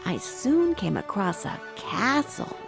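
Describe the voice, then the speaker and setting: A woman narrating a scripted bedtime story for young children in an expressive way, telling it as the main character. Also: medium pitch, slow pace, vocal fry, music in the background.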